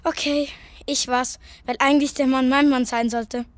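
A woman's voice: high-pitched, steady tone, normal speed, no room sound, natural voice. A woman acting a jealous, defensive best friend in an amateur radio play. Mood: confessing.

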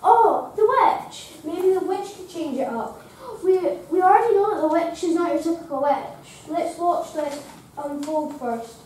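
A young student playing a cheerful, friendly dragon who comments eagerly on the story in a school play. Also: female voice, very high voice, a little slow, a little mellow, slight background noise. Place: school drama room, indoors. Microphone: far camera. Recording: very echoey room from far off.

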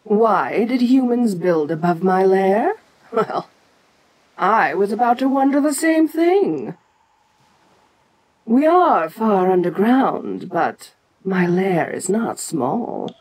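Woman acting as huge ancient kaiju queen for audio roleplay, bossy n teasing, breathy husky voice, takes her time. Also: medium pitch, expressive, slow pace, darkish tone, low-quality recording. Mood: reassuring, amused. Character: ancient domineering giantess kaiju queen, teasing but protective.

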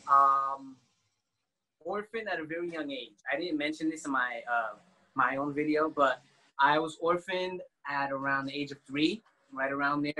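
A man's voice: on the high side, normal speed, poor audio. Young man telling a story on a video call. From born in Haiti, grew up in the United States. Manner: relaxed storytelling with filler "like" and "you know". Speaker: round table guest. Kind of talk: casual video call chat. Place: indoor living room, sitting beside a woman. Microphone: laptop camera on a video call.

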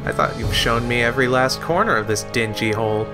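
A man playing a wry, skeptical lighthouse keeper in an audio drama, with dry, old-fashioned gentlemanly manners. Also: medium pitch, a little fast, lightly acted. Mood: teasing. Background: music.

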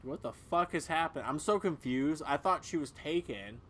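A man's voice: on the high side, animated, normal speed, slight background noise. A man giving casual, blunt gameplay commentary as a let's player, swearing freely. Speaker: video game let's player.

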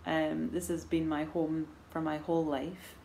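Soft-spoken Edinburgh Scottish woman, low voice. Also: flat delivery, slight background noise. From Scotland. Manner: thoughtful, measured, gently laughing, frequent fillers. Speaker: knitting vlogger answering viewer questions. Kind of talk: casual chat to camera. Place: living room at home, indoors. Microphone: camera in front at arm's length.

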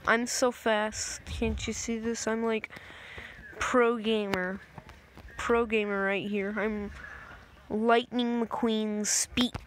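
A young woman casually commenting on a driving game, voice a little raspy from just waking up, rambling in a playful way. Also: fairly high pitch, little pitch movement, slow pace, slight background noise. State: slightly raspy, just woken up. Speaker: gameplay video maker. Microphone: phone screen recorder.

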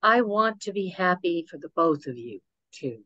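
An older woman playing a watchful, protective mother of a grown daughter, speaking in a measured way at a table read. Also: lowish voice, animated, fairly bright tone, no room sound, lo-fi sound. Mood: gently concerned. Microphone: video call microphone.

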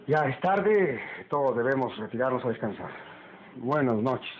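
An actor playing a stern, commanding master of a household in a radio drama, speaking emphatically. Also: male voice, mid-range voice, lively intonation, normal speed, close to an everyday voice. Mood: authoritative. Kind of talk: old radio drama acting. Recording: dull, noisy old radio recording.

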